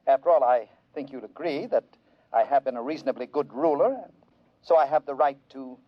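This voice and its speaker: An actor in a 1950s radio drama playing a mild, dignified ruler, polite and hesitant. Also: male voice, medium pitch, brisk, no echo, close to an everyday voice. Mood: courteous, resigned. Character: mild, courteous, dignified male overlord, resigned ruler. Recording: dull dry vintage radio recording.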